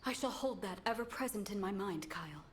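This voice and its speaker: A solemn warrior woman of a bygone age in a game cutscene, voiced by an actress. Her voice sits at a middle pitch, earnest and stiffly formal, over some background noise. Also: expressive, close to an everyday voice. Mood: solemn resolve. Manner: formal, stilted, measured, earnest. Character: solemn, courtly woman warrior from a past century. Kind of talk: video game cutscene acting.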